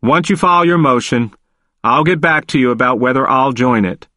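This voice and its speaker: A man playing a guarded, measured prosecutor. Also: mid-range voice, bright, forceful tone, dry recording, studio quality, natural voice.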